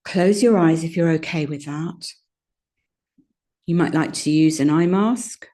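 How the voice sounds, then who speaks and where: Low, mellow-voiced woman guiding meditation. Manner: soothing, gentle, unhurried instructions with pauses. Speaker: yoga nidra meditation guide. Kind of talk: guided meditation.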